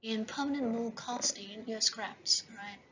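A woman lecturing over slides for a university class, often checking in with "right?" and slowing down to read slide headings. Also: fairly high pitch, sharp tone. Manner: repeats "right?" and "okay?" as checks. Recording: dull lo-fi voice-over with faint hiss.

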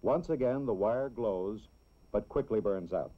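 An American man reads scripted narration in the style of a 1960s educational film, explaining things simply and deliberately for children. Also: lowish voice. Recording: dull, lo-fi old film soundtrack.

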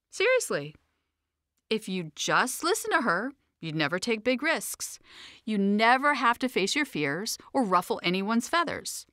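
A woman's voice: fairly high pitch, bright, forceful tone, no echo, studio quality. A woman reading her own self-help audiobook in a conversational, emphatic, playfully sassy way. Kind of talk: scripted audiobook narration.

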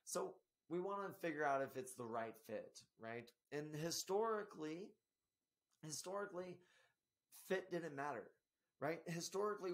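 Upbeat health coach, slightly scratchy. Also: male voice, a little high. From Spokane area, US. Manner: energetic, gestures a lot, frequent tag "right?". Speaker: podcast host and health coach. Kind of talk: solo podcast monologue. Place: small office in front of a banner.

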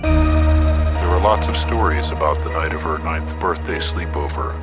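An actor playing an ominous teller of a dark legend, narrating deliberately like a grim storybook. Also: male voice, rumbling low. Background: loud music.

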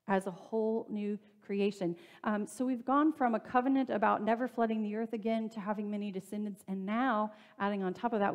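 A woman in her thirties preaches from the lectern of a church sanctuary. Her delivery is easy and conversational, with small asides and fillers as she talks to the congregation. Her voice sits at an ordinary middle pitch for a woman. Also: darkish tone. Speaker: pastor. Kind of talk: sermon.

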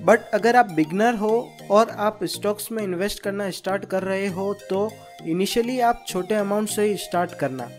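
A man's voice: sits high, a little mellow. A man narrating a scripted beginner tutorial in an instructive way, addressing listeners as friends. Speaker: host of a stock market tutorial channel. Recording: voice-over with music behind. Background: background music.

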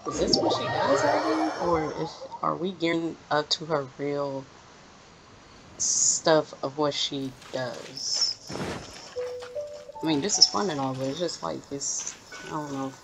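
A young woman streamer with a low voice comments casually on a game. She is blunt and offhand, and game music plays clearly behind her. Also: lively intonation, a little slow, low-quality recording. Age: young adult. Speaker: gaming streamer.

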